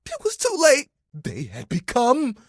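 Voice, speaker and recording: A man's voice: extremely high pitch, huge pitch swings, unhurried, slightly rough, no echo, studio quality, heavily acted. A man voicing a friendly, vain, dramatic living towel in a video game, chatty and playful with an easily wounded manner. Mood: dramatic, grieving. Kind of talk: animated, conversational game character acting.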